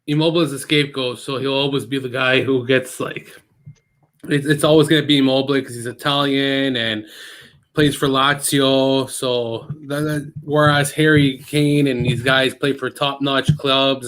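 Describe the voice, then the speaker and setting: A man's voice: medium pitch, steady tone, normal speed, fairly bright tone. A man on a casual football podcast, matter-of-fact and slightly hesitant. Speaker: podcast panelist. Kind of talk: casual sports chat.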